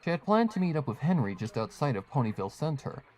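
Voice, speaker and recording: A man with a mid-pitched voice narrates a scripted horror tale. He reads as a storyteller, setting out scenes and characters in prose. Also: very animated, fast talker, darkish tone.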